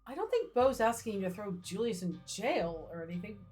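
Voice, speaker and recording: Lively, wry woman on a game stream. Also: mid-range voice, a little fast, soft background music. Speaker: livestream co-host playing a story game. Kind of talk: casual commentary and reading game choices aloud.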